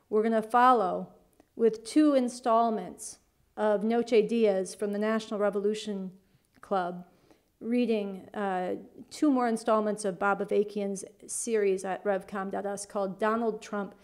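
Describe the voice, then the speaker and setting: A woman's voice: fairly high pitch, darkish tone. A woman hosting a political talk show, introducing segments in an earnest, emphatic manner.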